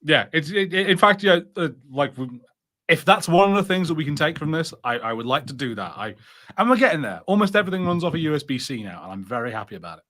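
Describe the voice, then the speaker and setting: A man co-hosting a podcast, chatting casually with halting starts and acted-out imagined lines. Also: on the high side, sing-song, sharp tone. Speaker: podcast co-host. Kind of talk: casual review chat.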